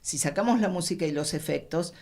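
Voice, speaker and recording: A middle-aged Argentine woman with a low voice answers as an interview guest. She reflects out loud, halting to find her words and then stressing them firmly. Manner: halting, searching for words, emphatic. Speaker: radio theatre specialist, interview guest.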